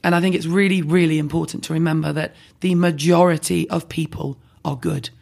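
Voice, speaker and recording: A woman with a husky, heavily gravelly voice talks fast and bluntly. She is animated and self-deprecating, trading candid views in a podcast conversation. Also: sits low. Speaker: skincare blogger guest.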